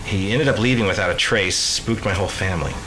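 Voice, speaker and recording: A man's voice: low-pitched, quick pace, bright, forceful tone, a little hiss or hum, poor audio. An American actor playing a protective, rattled middle-aged father in an audio drama, urgent and commanding when alarmed. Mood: subdued. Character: protective, rattled father facing an eerie mystery.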